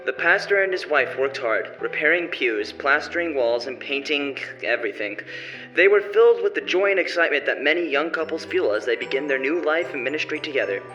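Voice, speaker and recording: Casting: male narrator for vintage-style radio drama, bright delivery, lo-fi sound over faint music. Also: fairly high pitch, lively intonation, brisk. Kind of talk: scripted radio play narration. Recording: dull lo-fi recording.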